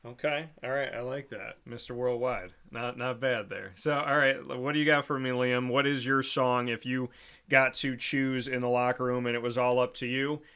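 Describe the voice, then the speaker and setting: A man's voice: mid-range voice, fairly even intonation, moderate pace, pushed and bright, smooth. A man hosting an interview, asking questions in a friendly, conversational way. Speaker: host.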